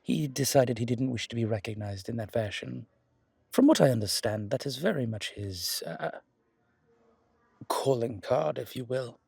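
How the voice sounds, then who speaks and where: A man's voice: mid-range voice, moderate pace, vocal fry, a little mellow, breathy edge, dry recording. A man playing a charming, flirtatious male museum guide in an audio roleplay, chatting intimately with genteel, hesitant asides. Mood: chatty, informative. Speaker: character performer in an audio roleplay. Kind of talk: intimate ASMR roleplay monologue, conversational.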